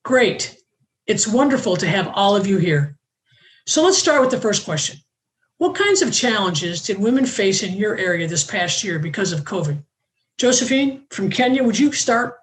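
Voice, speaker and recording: A woman's voice: medium pitch, very animated. Woman host reading a scripted welcome. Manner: measured, reading from a prepared script.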